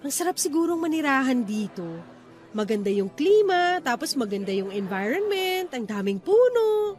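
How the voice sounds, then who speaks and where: High-pitched, chatty young office woman. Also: normal speed. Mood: warm, curious. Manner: chatty, expressive. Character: cheerful young office woman meeting an old sweetheart. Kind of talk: Filipino radio drama dialogue. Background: music.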